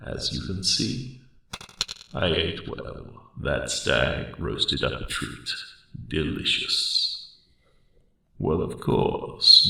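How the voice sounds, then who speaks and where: Cavernous, gravelly ancient dragon. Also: male voice, pushed and bright, heavily acted. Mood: relaxed, musing. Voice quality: very deep, gravelly growl. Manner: rambling, genteel, old-fashioned phrasing. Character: centuries-old huge male dragon, friendly, courteous, wry guardian. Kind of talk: ASMR character roleplay monologue. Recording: roomy cave-like echo, distant.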